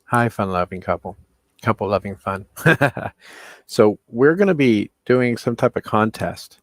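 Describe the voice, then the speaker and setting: A man's voice: lowish voice, a little slow, no room sound. A man hosting a livestream, chatting casually in a joking, mock-dramatic way. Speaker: live stream host.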